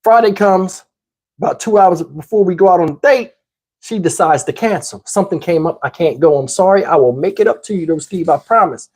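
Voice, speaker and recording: A man with a husky, slightly rough voice tells a personal story as the host of his own channel. His delivery is casual and slangy. He animatedly plays out both sides of conversations for comic effect. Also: sits high, fairly quick. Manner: animated, slangy, acts out dialogue.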